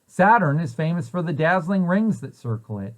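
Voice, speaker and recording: A man with a fairly high-pitched voice reads a picture book aloud for young children. His delivery is explanatory, as an educator would give it, setting out questions and their answers. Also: very animated, normal speed. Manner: explanatory, poses questions then answers them. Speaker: preschool site director reading aloud to children.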